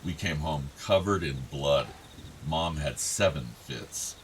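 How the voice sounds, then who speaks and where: A man's voice: very deep voice, slow, slight background noise, poor audio. A male actor playing a fond, outdoorsy middle-aged father of two grown sons in a naturalistic radio play. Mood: warm, reminiscing. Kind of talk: naturalistic radio drama dialogue.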